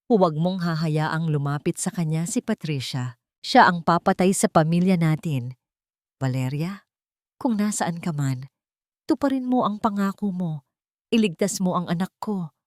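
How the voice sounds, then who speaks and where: A woman narrator plays a frightened wife who is dying and leaving a final message in an audio drama. She sounds tired and weak, pleading with quiet fear. The recording is dry. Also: lowish voice, expressive, normal speed, no echo, natural voice. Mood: fearful pleading.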